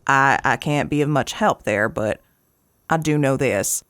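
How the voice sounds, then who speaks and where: An actress playing a folksy, wryly affectionate small-town horse owner in an audio drama, coaxing and unhurried in manner. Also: female voice, rumbling low, fairly bright tone, dry recording, studio quality. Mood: knowing, coaxing. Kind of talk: naturalistic audio drama acting.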